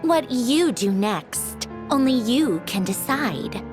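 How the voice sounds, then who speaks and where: Slow, haughty young villainess. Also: female voice, fairly high pitch, lively intonation. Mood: coolly menacing. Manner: condescending, formal, deliberate. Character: haughty refined young villainess, smugly menacing. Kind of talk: theatrical video game voice acting. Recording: music behind the voice.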